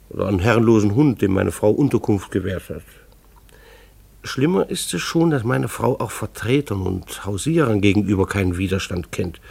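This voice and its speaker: An actor playing a mildly exasperated middle-aged husband who narrates his household in a radio play, dryly ironic and deliberate. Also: fairly low pitch, creaky, a little mellow, husky, full character voice.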